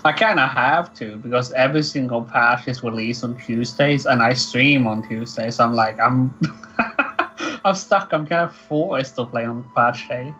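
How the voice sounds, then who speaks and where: A man's voice: medium pitch, unhurried, pushed and bright. A man with a Swedish accent, a streamer co-hosting a casual gaming webcast. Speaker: guild co-host and streamer. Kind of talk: casual chat. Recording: dull lo-fi call audio with faint music.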